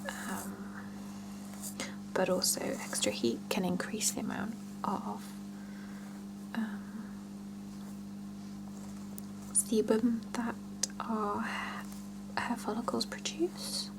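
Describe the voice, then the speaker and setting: A woman whispering softly in an ASMR roleplay as a salon scalp therapist, chatting and explaining gently and without hurry. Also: mid-range voice, steady tone, very slow, pauses often, low-quality recording. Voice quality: soft breathy whisper with creaky edges.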